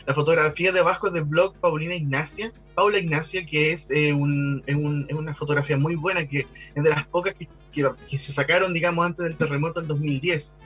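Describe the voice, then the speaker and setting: Higher-voiced Chilean man explaining over music. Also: fairly even intonation, a little fast, few pauses, a bit bright, lo-fi sound. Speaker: guest expert on local architectural history. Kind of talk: conversational explanation in an interview.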